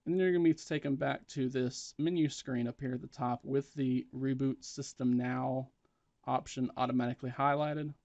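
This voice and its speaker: A man's voice: medium pitch, steady tone, moderate pace, darkish tone, no room sound. Man, tutorial presenter, matter-of-fact step-by-step instruction, dry room sound, slightly lo-fi. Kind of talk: step-by-step spoken tech tutorial.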